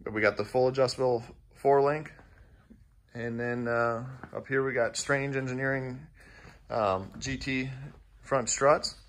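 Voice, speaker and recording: A man who runs a fabrication shop, casually walking through the projects in his large indoor shop in a relaxed, plainspoken way, with many "uh"s and "um"s, recording on a handheld phone. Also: medium pitch, measured pace, slight background noise.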